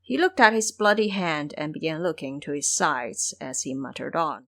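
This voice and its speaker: A woman's voice: low voice, normal speed, fairly bright tone. A woman narrating a scripted fantasy adventure as a storyteller.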